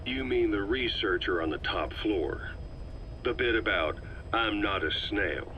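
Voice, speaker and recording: An actor playing a gruff, sceptical middle-aged military commander in a video game, speaking bluntly and questioningly. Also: male voice, lowish voice, lively intonation, measured pace, dull audio, slight background noise. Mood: sceptical, probing.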